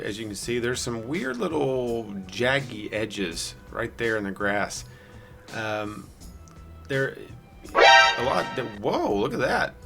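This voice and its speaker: Hoarse, gravelly man narrating gameplay. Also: mid-range voice, pushed and bright. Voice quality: raspy. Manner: rambling, self-deprecating, dry sarcasm. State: hoarse from singing the night before. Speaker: gameplay video host. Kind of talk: casual gameplay commentary.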